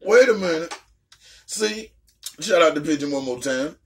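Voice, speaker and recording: A man's voice: fairly high pitch, lively intonation, moderate pace, a little hiss or hum. A man chatting casually as a reaction host, blunt, slangy and profane, often repeating words for emphasis. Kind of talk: casual chat reacting to a story.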